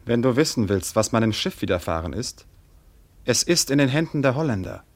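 An actor playing a proud, ardent seafaring trader prince in a German radio drama, speaking in a solemn, poetic, declamatory way with a husky voice. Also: male voice, medium pitch, very animated, a little fast. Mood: defiant, accusing.

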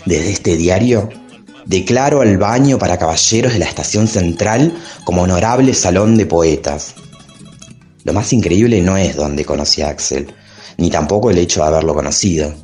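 A man acts out a flamboyant travesti poet confiding a diary. The voice is very deep, wry and theatrical, proud of itself and frank. Soft music plays behind the monologue. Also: normal speed, lightly acted. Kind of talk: dramatic literary monologue over music.

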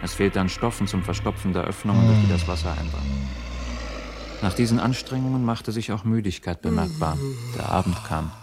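An actor playing a sober seafarer who gives a formal, matter-of-fact account of shipwreck hardships in a radio play. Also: male voice, low-pitched, fairly quick, lightly acted. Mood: grave recounting. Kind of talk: scripted narration in radio play. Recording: studio radio drama with music behind.

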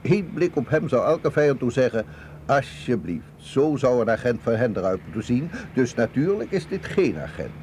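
A man's voice: medium pitch, very animated, moderate pace, darkish tone, lightly acted. A man playing a confident, earnest intelligence colonel in a radio drama, formal and authoritative. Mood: assured, serious. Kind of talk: Dutch radio drama acting. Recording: clear vehicle noise behind voice.

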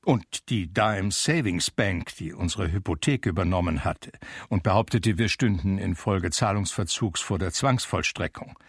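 Gravelly, husky, wry narrator. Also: male voice, deep voice, no room sound. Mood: wry, deadpan. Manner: dry, measured, literary storytelling. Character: wry, reflective brother recalling his eccentric household. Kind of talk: radio drama first-person narration.

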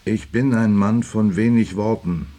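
An actor playing a taciturn, stubborn working man and husband in a radio drama, speaking in a curt, gruff, dismissive way. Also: fairly low pitch, unhurried, dark tone. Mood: gruff, withdrawn.

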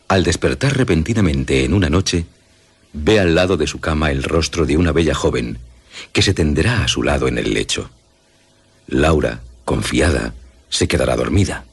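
A man with a Castilian Spanish accent narrating a scripted story for radio, measured and with dramatic pauses. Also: a bass voice, slightly rough. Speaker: presenter.

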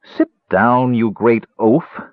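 A man's voice: mid-range voice, very animated, normal speed, no room sound, lightly acted. A man voicing a proud, fierce woman gladiator with a throaty voice and an odd accent, speaking curtly and coldly. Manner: curt, sharp, cold. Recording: tinny phone-like dry audio.

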